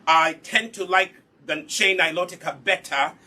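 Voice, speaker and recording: Bright, husky man teaching hair care. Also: high-pitched, normal speed. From Uganda. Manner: patient and instructive, asks rhetorical questions then answers them. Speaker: hair care instructor and product maker. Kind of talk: live online hair care demonstration.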